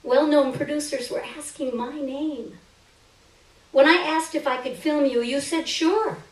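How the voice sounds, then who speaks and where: A woman giving an expressive, theatrical reading of a first-person short story on a stage. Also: high voice, measured pace. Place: live stage reading indoors. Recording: roomy stage, distant microphone.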